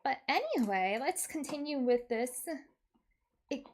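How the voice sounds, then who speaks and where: A woman with a fairly high voice hosts a livestream in a casual, chatty style. She talks at an unhurried pace, with an animated, giggly and expressive manner. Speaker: streamer, VTuber host.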